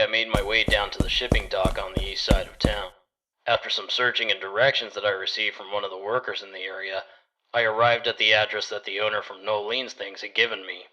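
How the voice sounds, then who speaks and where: A man playing a private detective who narrates his case in a measured, matter-of-fact way. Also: on the low side, pushed and bright, low-quality recording. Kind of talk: first-person detective story narration.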